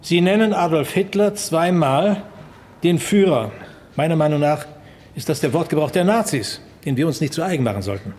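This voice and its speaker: Actor as a higher-voiced, stern police superior. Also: male voice, lively intonation, moderate pace, darkish tone, natural voice. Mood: stern, controlled. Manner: formal, correct, firm. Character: stern, principled senior police detective, male superior. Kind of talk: radio play dialogue.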